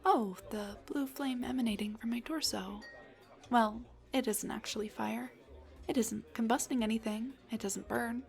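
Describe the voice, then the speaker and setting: A young woman voicing an embarrassed, self-deprecating headless dullahan on a date, in an intimate roleplay, breathy and hesitant, often slipping towards a whisper. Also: a little high, steady tone, normal speed, faint music behind. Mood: relaxed, chatty. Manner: hesitant, stammering, joking. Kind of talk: intimate audio roleplay acting.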